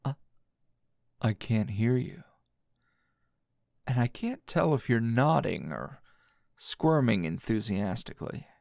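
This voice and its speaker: A man's voice: mid-range voice, very animated, slow, vocal fry, pauses often, darkish tone, no echo, clean studio sound, full character voice. A man playing a teasing, dominant, seasoned anime hero as a tender lover in an intimate ASMR roleplay, murmuring breathily and slipping into whispers. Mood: teasing, commanding.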